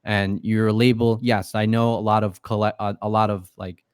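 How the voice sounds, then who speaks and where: A man's voice: fairly low pitch, little pitch movement, normal speed, clear, resonant tone, no room sound, clean studio sound. A man talking as a podcast guest in loose, casual speech, full of fillers and swearing. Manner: loose and profane, many fillers. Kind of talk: casual interview talk.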